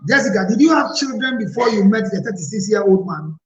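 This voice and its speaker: A man's voice: sits high, animated, fast talker, reverberant, low-quality recording. A man with a Ghanaian English accent hosting a counselling show, firmly repeating his questions. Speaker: relationship counselor host.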